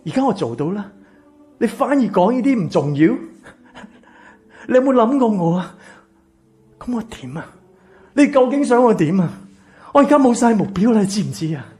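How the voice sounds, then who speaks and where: An actor playing a wounded, embittered rich man in a Cantonese radio drama, with a Hong Kong accent and a breathy, husky voice. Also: high-pitched, big pitch swings, moderate pace, pauses often, darkish tone, exaggerated voice. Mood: hurt, desperate. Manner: intense, dramatic. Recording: music behind the voice.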